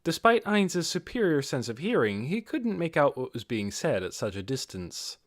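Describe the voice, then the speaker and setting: A man reading a scripted fantasy audiobook in an expressive, dramatic storytelling manner. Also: medium pitch, moderate pace, no echo, clean studio sound. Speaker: audiobook reader.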